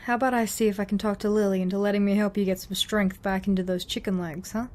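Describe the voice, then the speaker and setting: A woman's voice: mid-range voice, flat delivery, fast talker, darkish tone, natural voice. A woman playing an upbeat, kindly young caregiver in an audio drama, with playful, casual teasing. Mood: teasing encouragement. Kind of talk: naturalistic audio drama acting.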